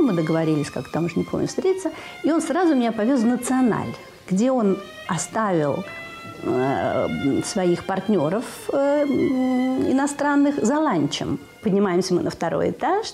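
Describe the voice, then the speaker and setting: An older woman giving an interview indoors, telling anecdotes in a lively way that speeds up as she goes. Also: medium pitch, normal speed, faint music behind. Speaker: interviewee, former actress. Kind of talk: interview recollection. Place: indoor room with lamp.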